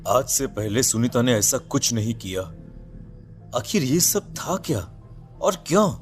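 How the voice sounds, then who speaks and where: A man playing an anxious, protective husband and father in an animated horror story, dramatic and urgent over background music. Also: medium pitch, measured pace. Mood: worried, puzzled.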